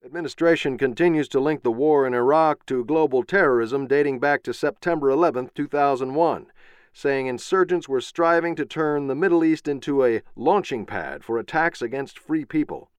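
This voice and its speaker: A man's voice: mid-range voice, normal speed, smooth, no echo, voice pushed up, natural voice. A man voicing a television news anchor who reads in a formal newsreader cadence.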